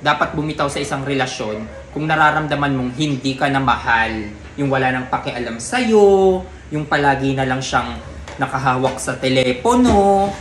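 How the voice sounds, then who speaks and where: A man's voice: medium pitch, expressive, normal speed, a bit bright. Echoey, pointed man complaining. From Philippines. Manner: pointed, complaining, repeats phrases for emphasis. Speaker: prankster in a couple's vlog. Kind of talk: casual reactive chat, needling a partner. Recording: echoey room, faint background noise.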